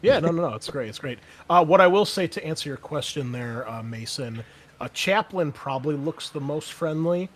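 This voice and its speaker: A man running a tabletop roleplaying game, speaking informally in halting, hesitant phrases as he sets scenes and guides players. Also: a little high, lively intonation. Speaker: game master.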